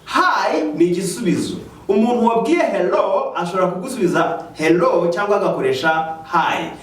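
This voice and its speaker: A man with a Rwandan accent teaching English in a slow, careful way, switching into Kinyarwanda to explain. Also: high-pitched. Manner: slow, careful explaining, lively rising questions. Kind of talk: bilingual language lesson. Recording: echoey room, mic at a distance.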